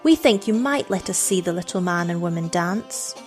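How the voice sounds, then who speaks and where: A woman's voice: on the low side, expressive, normal speed, darkish tone, soft background music, close to an everyday voice. A woman voicing a tearful, pleading little girl in a storybook reading. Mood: eager pleading. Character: two little girls, tearful, pleading, longing to be naughty.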